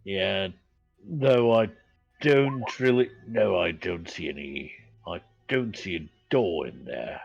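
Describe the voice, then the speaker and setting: A man playing in a tabletop game, speaking in a halting, hesitant way. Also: deep voice, animated, gravelly, husky, dull audio, faint music behind. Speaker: player. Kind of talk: casual tabletop play.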